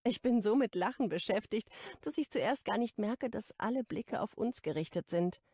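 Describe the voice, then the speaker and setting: A woman reading a romance audiobook in the first person, intimate and expressive. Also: fairly high pitch, moderate pace, slightly rough, no echo, clean studio sound. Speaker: audiobook narrator.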